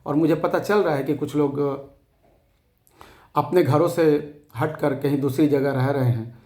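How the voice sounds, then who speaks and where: Hoarse, defiant man rallying followers. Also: a little high, warm tone, echoey room. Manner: defiant, emphatic, repeats phrases for force. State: hoarse, scratchy throat. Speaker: activist addressing fellow depositors. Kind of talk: impassioned rallying address to followers.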